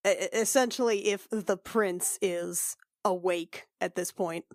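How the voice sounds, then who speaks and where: A woman's voice: lowish voice, fairly even intonation, measured pace, dry recording. A woman talking casually as a player in a tabletop game, with a dry, informal manner. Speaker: player in a tabletop role-playing podcast.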